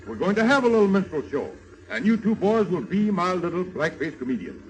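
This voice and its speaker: An actor playing a bossy, smooth-talking medicine show kidnapper in a coaxing showman's manner, in 1930s radio serial style. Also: high-pitched, very animated, a little fast, poor audio. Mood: jovial, scheming. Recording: old radio serial recording.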